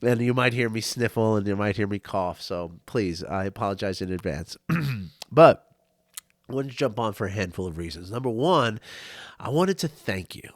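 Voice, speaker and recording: A man's voice: fairly low pitch, animated, normal speed, dry recording, clean studio sound. Congested middle-aged man, rambling host. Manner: rambling, repeats words, friendly. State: congested with a cold, nasal. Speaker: podcast host and coach. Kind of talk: casual impromptu monologue.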